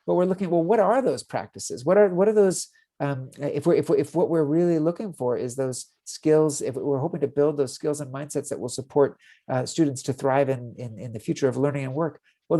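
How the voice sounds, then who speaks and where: Mellow-voiced man leading a webinar. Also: mid-range voice. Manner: halting, frequent word repeats and restarts. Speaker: webinar facilitator. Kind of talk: live webinar presentation. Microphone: video call.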